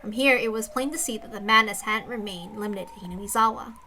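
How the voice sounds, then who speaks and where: A woman's voice: mid-range voice, fast, pushed and bright, slight background noise. A woman reading a visual novel aloud, mixing dramatic narration with casual asides.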